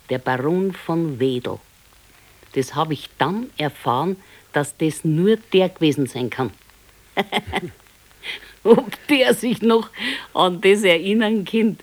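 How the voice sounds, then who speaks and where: A plain-spoken old Bavarian wife in a radio drama. Her voice sits a little low for a woman, and she talks in a homely, matter-of-fact way with a readiness to nag. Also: poor audio. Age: older. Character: plain-spoken ageing wife, former servant girl, nagging. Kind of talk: naturalistic radio drama acting.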